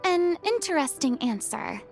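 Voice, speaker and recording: A woman's voice: sits high, very animated, measured pace, faint music behind, full character voice. A woman voicing a proud, theatrical young former stage star in an anime game, with lofty, florid delivery. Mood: reflective, lofty.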